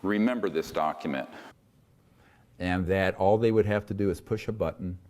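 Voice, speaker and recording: A man giving a public lecture with deliberate, emphatic phrasing. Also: deep voice, a little mellow, a little hiss or hum. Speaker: lecturer and UFO researcher. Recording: reverberant hall.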